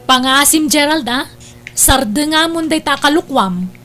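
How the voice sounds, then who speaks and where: High-voiced nagging Ilocano big sister played by an actress. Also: female voice, lively intonation, measured pace, over music. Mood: worried, prying. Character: nagging, worried older sister scolding her brother. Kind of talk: Ilocano radio-style audio drama acting.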